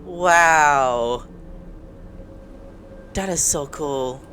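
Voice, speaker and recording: A woman's voice: low voice, very slow, a bit bright, a little hiss or hum. A woman giving casual, exclamatory, sweary reaction commentary while playing a video game. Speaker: gamer reacting to a game playthrough. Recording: game music under the voice.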